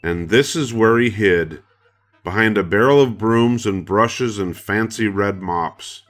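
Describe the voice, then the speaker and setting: A man's voice: lowish voice, measured pace, a bit bright, soft background music. An older man narrating a children's story from a script. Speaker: storybook reader.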